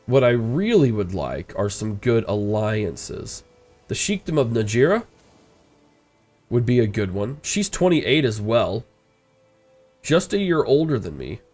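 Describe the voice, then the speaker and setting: A man's voice: medium pitch, sing-song, measured pace, long pauses, darkish tone, soft background music. A congested man with a stuffed-up nose, casually commenting as he plays a strategy game and thinking aloud. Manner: rambling, thinking aloud, frequent uh fillers. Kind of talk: casual let's-play commentary.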